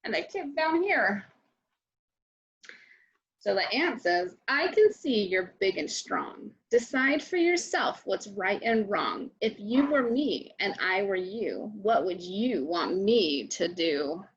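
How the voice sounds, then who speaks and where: A woman hosting a children's storytime, reading and chatting deliberately and putting questions to young listeners. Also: mid-range voice, lively intonation, breathy edge, muffled recording. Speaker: children's librarian storytime host. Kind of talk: children's read-aloud and chat.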